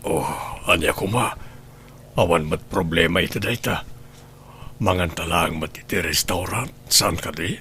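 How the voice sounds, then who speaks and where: A man's voice: low-pitched, unhurried, sharp tone. An actor with an Ilocano Filipino accent playing an insistent, persuasive man in a radio melodrama. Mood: friendly, coaxing. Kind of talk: radio drama acting. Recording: clear background noise and ambience.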